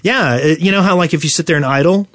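A man co-hosting a casual gaming podcast, telling stories in an animated way. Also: a little high, quick pace, no echo, clean studio sound. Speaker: podcast co-host.

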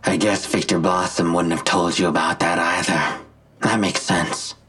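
An actor playing a smug, menacing vigilante villain in an audio drama, articulate and self-righteous, with a gravelly, husky voice and a deliberate, taunting delivery. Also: male voice, deep voice, steady tone, a bit bright, exaggerated voice. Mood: cold, matter-of-fact. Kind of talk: full-cast audio drama acting.

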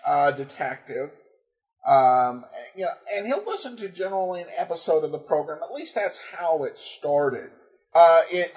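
A man hosting a podcast, speaking informally in a halting, hesitant way as he reads and answers listener feedback. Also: on the high side, darkish tone. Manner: halting, hesitant, breaks up phrases. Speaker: podcast host.

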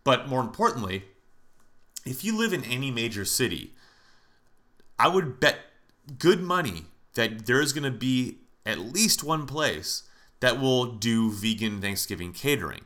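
A man's voice: mid-range voice, very animated, unhurried, fairly bright tone. An American man hosting a solo podcast in a casual, conversational way, his voice nasal and stuffed up from allergies. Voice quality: nasal, congested. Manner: informal, conversational, mildly profane. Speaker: podcast host.

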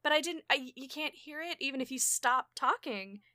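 A woman hosting a casual conversational interview, animated and self-deprecating, often laughing as she talks. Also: sits high, normal speed, dry recording, studio quality. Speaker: podcast host and audio drama creator.

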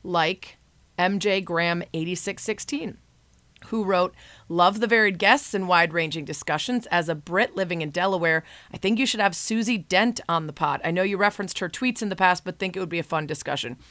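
A woman with a lowish voice hosts a podcast in a chatty, upbeat way. Her voice sounds close and dry, with no room around it. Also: a little fast, a bit bright, no room sound. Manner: upbeat, chatty, reads listener reviews. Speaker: podcast host. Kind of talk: conversational podcast interview.

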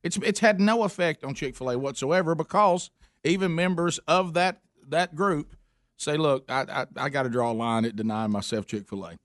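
A man's voice: on the high side, expressive, a bit bright, dry recording, studio quality. Folksy man in his fifties hosting radio. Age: 50s. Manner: folksy, joking, halting with fillers. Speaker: radio show host. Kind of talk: casual radio banter.

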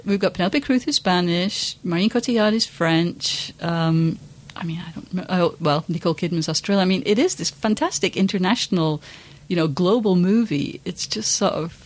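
A woman's voice: lowish voice, brisk, hardly any pauses. A woman co-hosting a podcast, chatting casually about film in an emphatic, wry, informal way. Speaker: podcast co-host.

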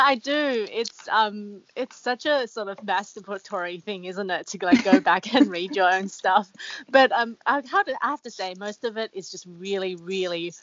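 A woman's voice: mid-range voice, fairly bright tone, no room sound, dull audio. A woman guest being interviewed, talking reflectively with many fillers and restarts. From Australia. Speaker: author guest. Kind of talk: casual interview talk.

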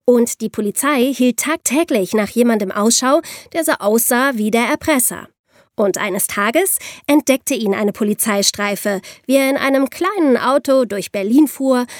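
A woman playing a young podcast host who explains crime cases to friends in a clear, patient, conversational way. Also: on the high side, dry recording, studio quality, close to an everyday voice.

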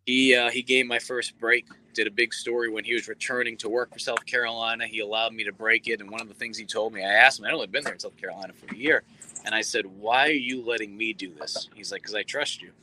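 A man in his thirties, a sports reporter, talking earnestly in a relaxed interview with many fillers. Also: fairly low pitch, fast, a little hiss or hum, low-quality recording. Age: 30s. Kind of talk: casual interview talk.

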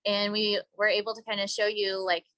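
A woman's voice: mid-range voice, level tone, fairly quick, bright, forceful tone, no echo, poor audio. A woman park ranger and educator answering interview questions in an upbeat way, hedging with small fillers.

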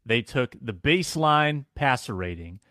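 A man, a sports talk show producer, calmly explaining statistics. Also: medium pitch, no room sound, studio quality. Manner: measured, explains stats. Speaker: producer and co-host.

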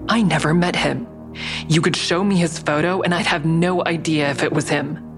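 Anxious, low-voiced woman on the defensive. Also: steady tone, moderate pace, music in the background. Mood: guarded. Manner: guarded, earnest, defensive. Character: anxious, defensive woman under interrogation, narrating her own story. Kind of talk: audio drama acting with first-person narration.

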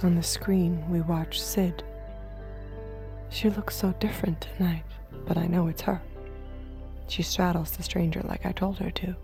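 Music plays under a slightly low, hushed female voice. She is a haunted android girlfriend confessing her story in an intimate audio drama, often sinking toward a whisper. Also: level tone, unhurried, gravelly, pauses often. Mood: hushed, tense. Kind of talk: intimate first-person audio drama narration and dialogue. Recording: music behind the voice.

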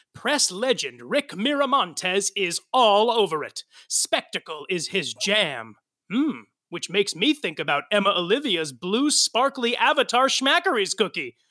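A woman's voice: medium pitch, fairly bright tone. A woman narrating in a wry, musing way. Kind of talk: scripted narration.

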